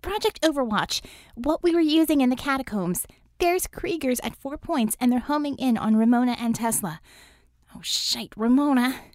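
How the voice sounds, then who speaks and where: A woman's voice: sits high, normal speed, dry recording, clean studio sound, pitched above the performer's own voice, natural voice. A woman voicing an outspoken, feisty young female superhero with a blunt, informal and emphatic way of talking in an audiobook. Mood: urgent.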